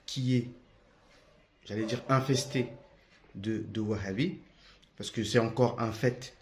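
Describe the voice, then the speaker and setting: A man's voice: lowish voice, fairly even intonation, unhurried, a little mellow. A young man from France giving an unscripted, defiant monologue to camera, with many hesitations and restarts. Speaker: religious video commentator.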